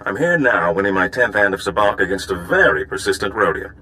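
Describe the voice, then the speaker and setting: An actor playing a charming, worldly older gambler and informant in a video game, suave, genteel and self-assured. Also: male voice, fairly low pitch, very animated, fairly quick, pushed and bright, low-quality recording. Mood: warm, jovial.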